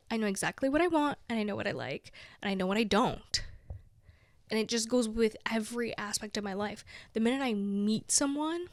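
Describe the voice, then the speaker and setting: A young woman in her twenties talks loosely and warmly to her viewers, her voice sitting slightly high. She giggles between thoughts and fills her sentences with "like" and "you know." The recording is dry and close, with no room sound. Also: dry recording. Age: 20s. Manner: chatty, giggly, many "like"s. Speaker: vlogger. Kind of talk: casual chat to camera.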